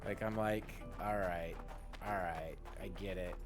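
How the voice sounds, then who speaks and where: A man chatting casually and ramblingly as a game streamer, informal and halting, leaning on key words. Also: low-pitched, fairly even intonation. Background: game music.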